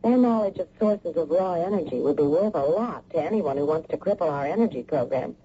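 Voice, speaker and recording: An actress playing a worried, inquisitive middle-aged wife in a radio mystery drama. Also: female voice, low voice, animated, a little fast, dark tone, husky. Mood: concerned, pressing. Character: lawyer's wife, inquisitive and worried, mother of a twelve-year-old. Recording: muffled old radio broadcast.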